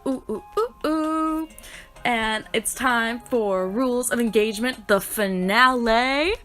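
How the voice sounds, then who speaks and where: Bubbly woman gamer with a hoarse voice. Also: on the high side, takes it slowly, soft background music. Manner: bubbly, playful and excitable. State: hoarse from a sore throat. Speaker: gaming YouTuber. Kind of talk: reading a story game aloud with commentary.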